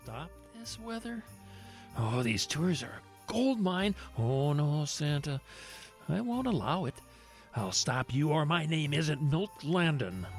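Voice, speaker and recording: A man playing a scheming, excitable travel agent villain in a radio play, theatrical, flustered and pushy. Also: a little high, slow pace, music in the background, full character voice. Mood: scheming, defiant. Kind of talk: radio-play character acting.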